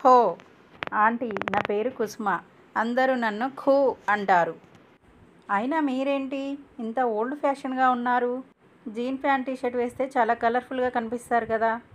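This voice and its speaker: A woman's voice: fairly high pitch, steady tone, moderate pace, pauses often, natural voice, rough recording. Woman acting haughty, showy young city woman. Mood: showy, condescending. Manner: haughty, peppering talk with English words. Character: young modern fashionable city woman, boastful, brash, English-sprinkled speech. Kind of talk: cartoon moral story voice acting.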